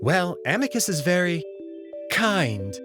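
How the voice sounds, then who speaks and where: Guy doing a polite young alien servant boy for a visual novel, kinda high voice, lilts a lot, gently candid. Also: very animated, slow, music in the background, heavily acted. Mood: polite, warm. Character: polite, smiling young male alien pet servant, discreet and perceptive. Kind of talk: visual novel character read-through.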